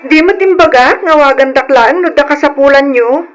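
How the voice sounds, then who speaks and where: A woman's voice: high-pitched, normal speed, music in the background, lightly acted. A woman playing a caring, timid young Filipina working abroad in an old-style radio drama. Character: young Filipina migrant worker, caring older sister, timid. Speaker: narrator and lead of a letter drama.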